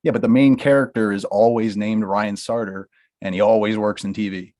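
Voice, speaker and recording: A man's voice: fairly low pitch, fairly even intonation, moderate pace, mellow, natural voice. A man reading the part of a blunt, money-minded talent manager in a comedy table read. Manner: brusque, dismissive, laughs through lines.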